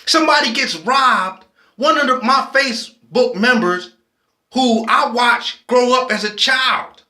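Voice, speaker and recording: A late-forties man, talking in a very high, bright voice. He is impassioned and preachy, speaking slowly and often restarting his sentences. The sound carries a roomy echo. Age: 40s. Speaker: vlogger. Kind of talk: personal video commentary.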